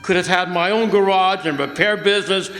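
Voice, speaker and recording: A man's voice: high voice, brisk, fairly bright tone, faint music behind. A man playing a hot-tempered, bitter ambulance driver in an old-time radio drama, slangy, blustering and agitated. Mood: bitter, defensive. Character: hot-tempered, bitter working-class male ambulance driver, desperate husband. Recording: echoey hall stage recording, faint noise.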